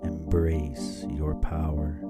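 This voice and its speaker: Man acting as a hushed, low-voiced meditation guide. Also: loud music behind the voice. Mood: serene, soothing. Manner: slow, calming, drawn-out phrases. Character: soothing, serene male meditation podcast host.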